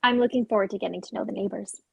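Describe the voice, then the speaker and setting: A woman playing an earnest, gentle, conscientious character in a dramatic play reading. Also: mid-range voice, steady tone, racing, gravelly, husky, heavily acted. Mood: hopeful.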